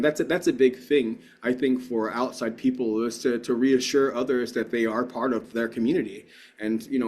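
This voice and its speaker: A man's voice: medium pitch, a little mellow. A man giving a keynote talk and answering audience questions in an earnest, rambling way with frequent restarts. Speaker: keynote speaker.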